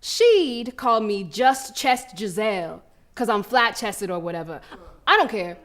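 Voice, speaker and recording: A woman's voice: mid-range voice, lively intonation, a little slow, a bit bright. A woman playing a fierce, cocky preteen American girl runner in a live theatrical monologue, telling her story in brash, slangy street talk. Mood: defiant, earnest. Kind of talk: first-person theatrical monologue performed live.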